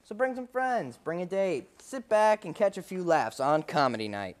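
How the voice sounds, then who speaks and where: A young man co-hosting a college radio arts segment, with deadpan jokes in chat and reading event promos. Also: high voice, moderate pace. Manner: dry, deadpan humor. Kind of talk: casual chat and read announcements.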